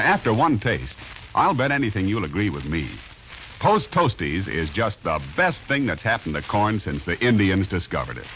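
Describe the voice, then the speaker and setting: Animated low-voiced old-time radio announcer man. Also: slight background noise. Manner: theatrical, salesmanlike. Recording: muffled old radio broadcast.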